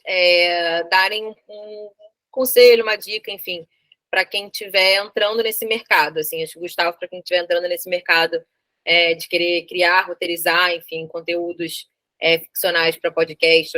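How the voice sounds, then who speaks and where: Female interviewer, bright flat voice, hesitant questions. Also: on the low side, no room sound. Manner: loose and searching for words.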